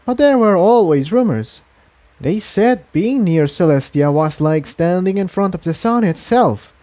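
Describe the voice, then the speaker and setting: A man's voice: sits high, expressive, unhurried, warm tone, pitched above the performer's own voice. A man voicing an anxious, self-doubting female pony in a storybook reading, speaking earnestly and fretfully. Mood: curious.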